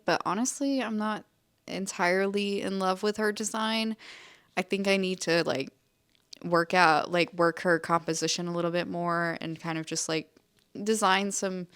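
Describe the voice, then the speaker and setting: A woman's voice: fairly low pitch, a bit bright, clear, resonant tone, dry recording. A young woman artist chatting casually in a vlog, a little nasally from a cold, with frequent ums and likes. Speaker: artist vlogger.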